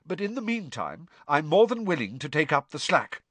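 Guy doing a pompous young official voice, high and bouncy, dry sound. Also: sing-song, normal speed, a bit bright, no room sound. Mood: self-important. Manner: pompous, self-important. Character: pompous self-important young male official.

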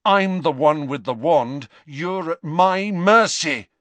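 A man's voice: on the high side, takes it slowly, fairly bright tone, no room sound, pitched above the performer's own voice. A man doing a frightened teenage boy. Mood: defiant. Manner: shaky, desperate.

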